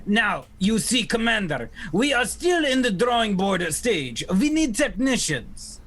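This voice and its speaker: A man's voice: sits high, big pitch swings, a little slow, fairly bright tone, exaggerated voice. A man playing a scheming, mock-courteous villain in a 1940s-style radio play, silky and condescending. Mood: grandiose. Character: scheming, mock-courteous mad villain leader of warring vegetables.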